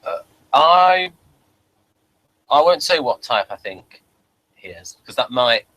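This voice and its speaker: Male review host, medium pitch, crisp bright edge, informal conversational delivery. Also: big pitch swings, takes it slowly, poor audio. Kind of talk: casual review chat.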